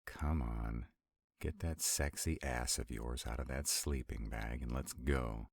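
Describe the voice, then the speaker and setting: A man's voice: fairly even intonation, a little slow, no echo, clean studio sound, full character voice. A man playing a sarcastic, grumbling yet affectionate vampire in a romantic roleplay audio, with exasperated, intimate delivery. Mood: coaxing. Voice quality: very deep voice. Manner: sardonic, exasperated, intimate, swooping emphasis.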